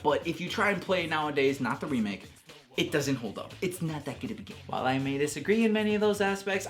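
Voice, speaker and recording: A young man giving casual, emphatic video-essay commentary on games, with slangy phrasing and drawn-out stressed words. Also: on the high side. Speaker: video game reviewer, host. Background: background music.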